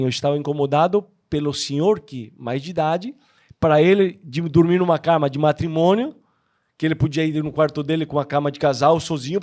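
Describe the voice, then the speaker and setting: A man from Argentina with an Argentine accent, a native Spanish speaker, sharing a personal testimony as a podcast guest and acting out dialogue in an animated way. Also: on the high side.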